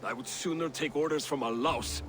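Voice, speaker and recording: A man's voice: a little high, normal speed, a bit bright, faint music behind, lightly acted. A man acting as a proud, surly Viking raider in a dramatic video game. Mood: resentful. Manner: surly, boastful.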